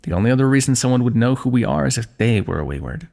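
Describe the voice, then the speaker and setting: A man voicing a kingdom's ruler who gently chides and teases a wounded companion while tending his injury, in an intimate fantasy roleplay. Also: medium pitch, animated, fast, creaky. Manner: gentle, chiding, teasing, close and intimate. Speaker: ASMR roleplay performer. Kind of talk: scripted fantasy ASMR roleplay.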